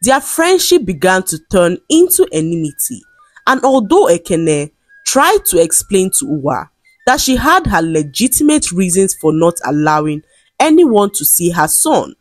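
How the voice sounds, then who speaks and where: A woman narrates a scripted folktale in a measured, dramatic storytelling manner. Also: fairly low pitch, no room sound. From Nigeria.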